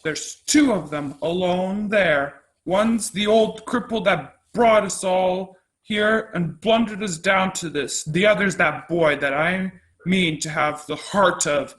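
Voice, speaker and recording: A menacing, bitter mutineer acted by a man in a radio play. He rallies his fellow pirates slowly, in a high voice. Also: a bit bright. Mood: vengeful. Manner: menacing, rallying.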